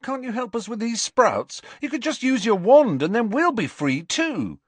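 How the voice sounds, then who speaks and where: A man's voice: on the low side, very animated, normal speed, a bit bright, breathy edge, dry recording, full character voice. Man voicing a grumpy, easily flustered teenage boy. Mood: pleading.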